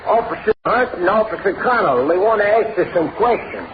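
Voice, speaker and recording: A man's voice: high-pitched, lively intonation, brisk. An actor playing an eager young male helper in an old radio crime drama. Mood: anxious. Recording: tinny, noisy old radio broadcast.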